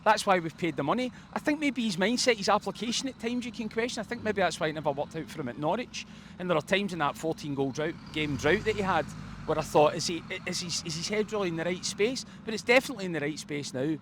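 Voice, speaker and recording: A man's voice: high-pitched, expressive, fast. A man giving effusive, opinionated post-match football reaction as a fan pundit, speaking in wordy stretches. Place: outdoors outside a football stadium. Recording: outdoor street noise behind.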